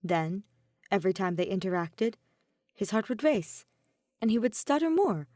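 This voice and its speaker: A woman's voice: mid-range voice, sing-song, normal speed, warm tone, dry recording, studio quality. A woman narrating a piece of fan fiction as a scripted audio reading. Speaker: podfic narrator.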